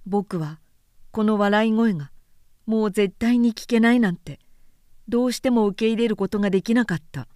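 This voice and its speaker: Female professional narrator for literary recitation: calm, measured, soft storytelling, dry studio-close sound. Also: medium pitch, no echo.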